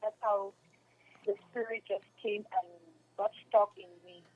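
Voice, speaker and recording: A woman's voice: medium pitch, steady tone, a little slow, husky. A young woman with a Cameroonian accent giving a casual interview as a guest, speaking emphatically and repeating her phrases. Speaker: interview guest, stage and radio drama actress. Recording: dull lo-fi telephone-like radio sound.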